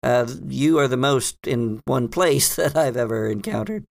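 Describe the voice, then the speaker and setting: Man voicing a hesitant, creaky-voiced lady librarian. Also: mid-range voice. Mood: cautious, thoughtful. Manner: musing, halting explanations. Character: cryptic, hesitant librarian woman who knows hidden lore. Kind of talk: improvised tabletop roleplay.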